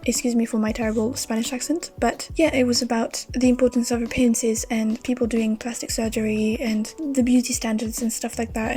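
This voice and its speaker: A woman's voice: fairly high pitch, dark tone. A young woman talking casually over a drawing timelapse, thinking aloud in a rambling, reflective way with frequent fillers. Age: young adult. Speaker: art vlogger.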